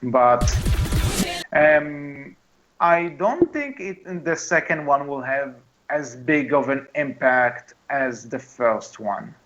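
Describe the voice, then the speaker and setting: A man's mid-pitched voice in a dull, lo-fi recording. He is a podcast co-host discussing movies casually with friends. He speaks with firm opinions and keeps stopping to restart his phrases. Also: expressive, a bit bright, soft background music. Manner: halting, often repeats and restarts phrases.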